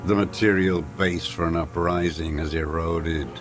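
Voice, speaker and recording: An actor playing a bitter, weary old communist deserter and former commissar in a video game, speaking in terse, brooding phrases with a smoker's rasp. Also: male voice, low voice, fairly even intonation, unhurried. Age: older. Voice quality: gravelly, coughing smoker's voice. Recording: music under the voice.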